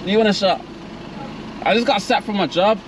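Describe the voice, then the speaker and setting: A man's voice: sits high, moderate pace. A young British man chatting casually and slangily from inside a car, as a prankster vlogger. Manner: slangy, informal. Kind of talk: casual chat and drive-through prank. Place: inside a car at drive-throughs. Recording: noisy car interior, engine and outdoor hum.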